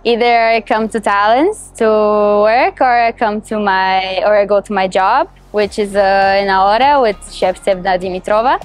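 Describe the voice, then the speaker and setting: A young woman from Brazil with a Brazilian Portuguese accent, a culinary student answering interview questions outdoors in a cheerful, laughing way with halting restarts. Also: mid-range voice, clear, resonant tone, soft background music. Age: young adult. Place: outdoors on a city terrace.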